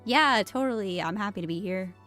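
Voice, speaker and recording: A woman's voice: medium pitch, animated, fairly quick, a bit bright. A woman live-streaming a game with music playing behind her. She talks casually in a rambling, self-interrupting way and is easily amused. She sounds a bit under the weather with a cold. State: sick with a cold. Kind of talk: casual live game stream commentary, reading dialogue aloud.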